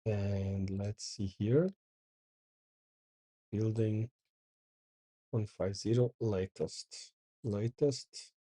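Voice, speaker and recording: Middle-aged man host, husky and unhurried, talking himself through commands. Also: fairly low pitch, steady tone, dark tone. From Porto, Portugal. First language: Portuguese. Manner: thinks aloud while reading out commands. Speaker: channel host. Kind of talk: casual live coding commentary.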